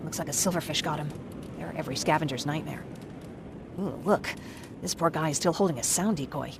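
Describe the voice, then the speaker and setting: Game role: wary young scavenger woman, lower register, brisk and streetwise, noisy background rumble. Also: creaky. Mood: wry, wary. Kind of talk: game voice acting, companion dialogue.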